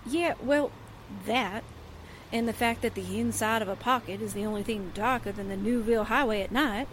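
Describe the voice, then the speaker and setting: A woman's voice: on the high side, normal speed, close to an everyday voice, rough recording. An actress playing a brassy, slangy, wisecracking newspaperwoman in a 1940s-style radio drama. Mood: wry, grumbling. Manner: wry, slangy quips. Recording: clear rain and car noise behind. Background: rain and vehicle sounds.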